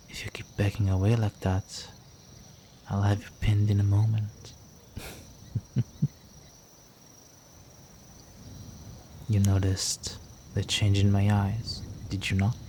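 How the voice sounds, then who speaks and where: Man playing a slow, suave, low-voiced vampire noble. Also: little pitch movement, dark tone, a little hiss or hum. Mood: teasing, predatory. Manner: courtly, teasing, slow formal endearments. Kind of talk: intimate romantic audio roleplay addressed to the listener.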